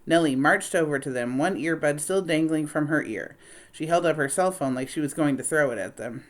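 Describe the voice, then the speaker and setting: A woman's voice: low-pitched, brisk. A woman narrating a children's book as a read-aloud.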